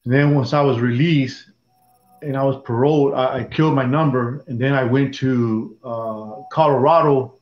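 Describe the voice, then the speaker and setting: A man, telling his life story in an interview with halting restarts. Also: mid-range voice, fairly even intonation. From Dallas, Texas. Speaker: interviewee, former prison gang member. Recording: echoey room, dull lo-fi sound.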